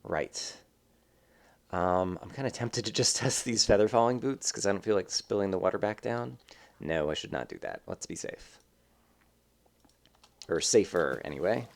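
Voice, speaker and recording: Let's play host, man, lowish voice, slightly stuffy nose, relaxed meandering commentary with fillers. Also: normal speed, vocal fry, long pauses. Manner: rambling, thinking aloud with many fillers. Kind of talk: casual gameplay commentary.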